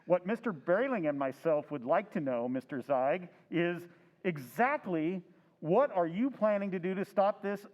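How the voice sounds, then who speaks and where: A man's voice: high voice, animated, a little slow, a little mellow, long reverb tail. A man playing an earnest handyman friend. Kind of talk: old-time radio drama recreation.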